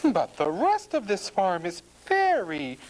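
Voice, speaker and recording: A woman's voice: mid-range voice, wildly swooping pitch, slow pace, a little mellow, a little hiss or hum, pitched above the performer's own voice, poor audio. A woman voicing a house-proud farmer's wife in a storybook, emphatic, fussy and exclamatory. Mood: determined.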